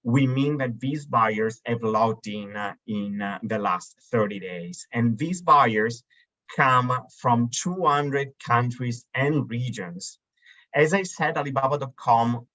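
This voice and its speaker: A man's voice: medium pitch, sharp tone. Italian guy in his 30s walking thru slides on a video call, lots of uhs and restarts, muffled lo-fi sound. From Italy. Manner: hesitant, many fillers and restarts. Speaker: webinar host for a marketplace. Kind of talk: presenting slides on a webinar. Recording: dull lo-fi video call audio.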